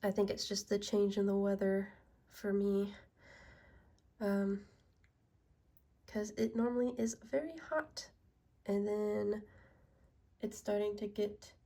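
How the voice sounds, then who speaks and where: A woman narrating her small business vlog, stuffy and congested, walking through her work in a soft, unhurried way. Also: mid-range voice. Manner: slow, soft-spoken walkthrough.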